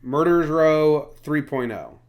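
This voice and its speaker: A man's voice: fairly high pitch, unhurried. A man hosting a sports podcast in a casual, chatty style. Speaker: podcast host.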